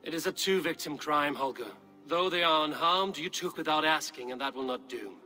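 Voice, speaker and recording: A man playing a stern, commanding Viking clan leader in a video game, speaking in a firm, measured, authoritative way. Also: on the high side, bright, forceful tone, soft background music. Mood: stern, judicial. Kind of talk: dramatic video game acting.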